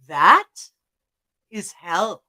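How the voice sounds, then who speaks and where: A serene little old monk, as a woman puts him on, speaking in a soft, hushed voice. He is slow and unhurried, and his tone stays bright and clear even when quiet. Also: medium pitch, heavily acted.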